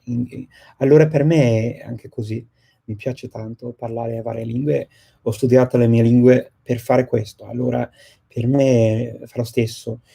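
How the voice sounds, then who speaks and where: A man, a native English speaker, hosting a casual group chat and often pausing to search for words. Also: mid-range voice, steady tone, creaky, dark tone, husky. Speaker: host of a discussion on multilingual events.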